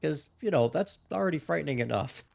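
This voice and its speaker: A man's voice: on the high side, lively intonation, a little fast, gravelly, darkish tone. Slightly ill man rambling over gameplay. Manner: rambling, hesitant, with frequent restarts and fillers. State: a little ill, losing his voice. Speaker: gaming YouTuber. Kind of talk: casual playthrough commentary.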